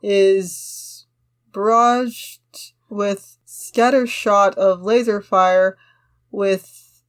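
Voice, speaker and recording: Woman podcast cohost, normal pitch, opinionated, kinda argues her points while reading stuff out and commenting. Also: steady tone, very slow, pauses often. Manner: opinionated, argumentative. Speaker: podcast co-host. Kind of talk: casual discussion, reading a script aloud with commentary.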